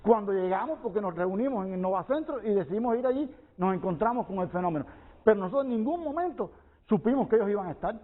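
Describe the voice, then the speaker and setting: A Cuban man argues defensively on a debate panel. He talks fast in a very high male voice, insisting on his point. The recording is dull and narrow. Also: lively intonation. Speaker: debate guest.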